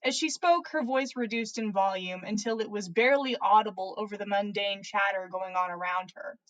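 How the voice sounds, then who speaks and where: A woman's voice: mid-range voice, normal speed, poor audio. A woman reading fan fiction aloud as a podcast host, narrating with playful, theatrical emphasis. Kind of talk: dramatic story narration.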